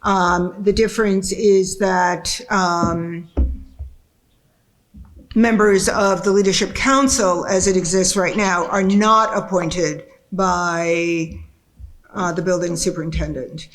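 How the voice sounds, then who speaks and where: A woman chairing a school board meeting, talking in a measured, halting way with many fillers. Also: on the low side, steady tone. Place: meeting room.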